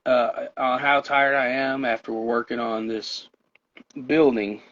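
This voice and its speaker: A man's voice: medium pitch, fairly even intonation, a little slow, a bit bright. A tired man with a voice wearing out, rambling through a casual chat show as co-host. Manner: rambling, tired, laughs often. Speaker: podcast co-host. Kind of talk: casual chat about wrestling. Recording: dull lo-fi sound.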